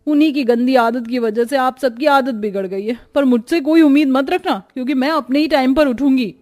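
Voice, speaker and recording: Cartoon young wife female actor, high voice, sulky and defiant, complains with exaggerated whininess. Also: brisk, a little mellow, natural voice. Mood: indignant, complaining. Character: young sulky daughter-in-law, defiant, whiny, fond of sleep. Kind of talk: Hindi cartoon story dialogue.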